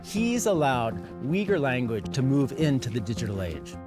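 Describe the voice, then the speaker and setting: An American man, a historian, presenting and explaining things in a documentary in a measured way. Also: medium pitch, sing-song. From United States. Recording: music bed under the voice.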